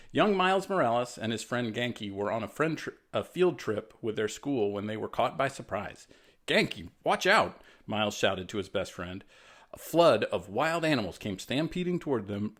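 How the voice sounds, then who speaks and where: A man's voice: fairly low pitch, lively intonation, normal speed, a bit bright. An American man, a writer reading his own children's story aloud in a casual, self-effacing way with chatty explanatory asides.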